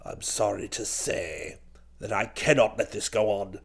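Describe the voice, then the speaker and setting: A man's voice: on the low side, fairly even intonation, measured pace, gravelly, pitched below the performer's own voice. A man voicing a stiff, indignant old English duke, icily polite, with clipped, formal and pompous delivery in an audiobook story. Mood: icily calm. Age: older.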